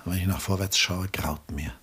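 An actor playing an embittered, lonely middle-aged artist in a mid-century German radio play, melancholy and brooding, with a husky voice and hushed drops. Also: male voice, deep voice, steady tone, a little fast, heavily acted. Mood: bitter, weary. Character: Julian, embittered aging artist, lonely, self-pitying father.

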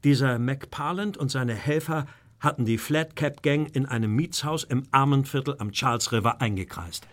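A man playing an earnest, anxious English gentleman recounting his ordeal in a formal, deferential way in a German audio drama. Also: medium pitch, moderate pace, few pauses, close to an everyday voice. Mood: grave recounting.

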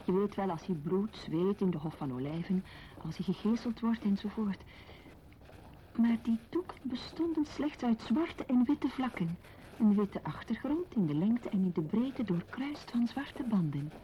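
A reflective woman played by an actress confides with measured, intimate earnestness, as in a literary radio play. Her voice sits at a middling pitch, on a dull recording with faint noise. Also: lively intonation, close to an everyday voice. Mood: reflective, wondering. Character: reflective, intense woman confiding memories and love.

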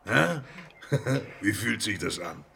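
A man's voice: fairly low pitch, sing-song, takes it slowly, gravelly, bright, forceful tone, a little hiss or hum, full character voice. An actor playing a mocking, menacing male criminal with slangy, taunting speech in a radio crime drama. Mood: sneering amusement.

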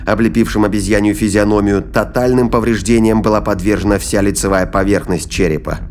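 A man's voice: lowish voice, a little hiss or hum. A man narrating a science-fiction thriller audio play as scripted storytelling.

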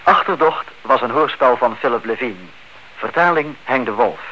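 Male radio announcer, scripted credits, medium pitch, crisp edge, faintly hissy vintage sound. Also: sharp tone. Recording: muffled old radio recording, faint hiss.

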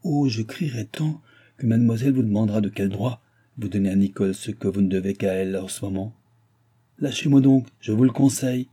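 A man reading aloud and voicing a jealous, sharp-tongued young maid who mocks and scolds. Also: fairly low pitch, brisk, slightly rough. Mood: mocking. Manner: mocking, scornful.

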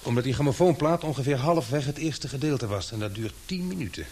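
A man acting in a Dutch radio drama as a sharp, suspicious investigator, speaking deliberately with hesitant pauses. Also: mid-range voice, lively intonation, a little hiss or hum, lightly acted. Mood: grave, reasoning.

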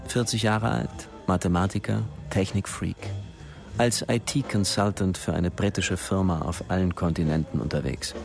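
Wry thriller narrator, very low gravelly voice, sardonic, scripted radio drama, music underneath. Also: male voice. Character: wry, observant male narrator in a spy thriller.

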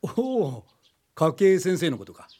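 A man's voice: fairly high pitch, lively intonation, a little slow, dark tone, breathy edge. A man acting a wealthy, indulgent older father in a radio drama. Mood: indulgent, tender.

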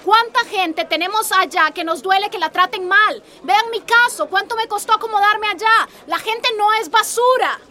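Radio drama: actress as anxious but principled mother, strikingly high bright voice, heartfelt. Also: normal speed, hardly any pauses. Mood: indignant. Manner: earnest, emotional. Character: worried, compassionate migrant mother standing her ground. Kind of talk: Spanish-language radio drama acting.

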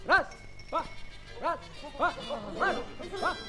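Extremely high-pitched tiny plucky man. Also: extremely slow, over music, full character voice. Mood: eager, drilling. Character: tiny finger-sized man, plucky showpiece performer. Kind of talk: theatrical fairy-tale audio play.

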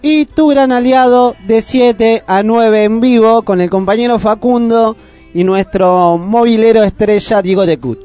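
A high-voiced Argentine man presents on community radio. He speaks casually, as a co-host would, with faint music running behind him. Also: measured pace, a little mellow, smooth.

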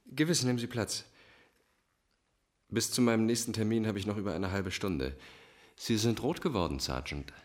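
Radio crime drama, male actor as smooth manipulative psychiatrist, low-pitched, polished diction, cold control. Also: moderate pace, long pauses, natural voice. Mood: smoothly polite. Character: suave, manipulative, menacing male psychiatrist villain.

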